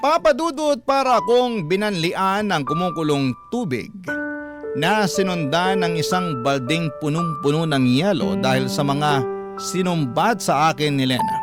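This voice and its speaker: A man's voice: a little high, expressive, unhurried. A man playing a troubled young Filipino husband who narrates his life story earnestly, like a confession, in a radio drama. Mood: anguished. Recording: loud music under the voice.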